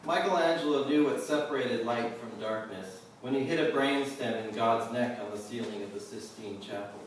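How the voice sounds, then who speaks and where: A man reading his own poetry at a live event, measured and deliberate in the poems and offhand in between. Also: medium pitch. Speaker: poet reading own work. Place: live reading venue, indoors. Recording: roomy echo, distant mic, faint noise.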